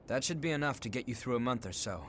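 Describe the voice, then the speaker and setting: An actor playing a composed, principled young male leader in a video game cutscene, calm, earnest and firm. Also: medium pitch, fast talker, noisy background, close to an everyday voice.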